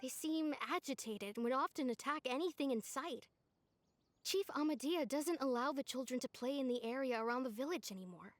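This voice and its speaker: A woman's voice: sits high, expressive, a little fast. An actress playing a friendly, earnest young village woman in a video game, polite and easygoing. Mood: concerned.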